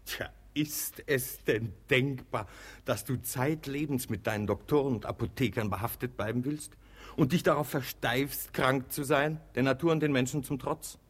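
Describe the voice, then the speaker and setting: An actor playing a sensible middle-aged brother in a German radio comedy, reasoning patiently with dry, teasing mockery. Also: male voice, medium pitch, normal speed, breathy edge, lightly acted. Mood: reasoning, insistent. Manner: reasoning, dryly mocking. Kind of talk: German radio play acting of classic comedy.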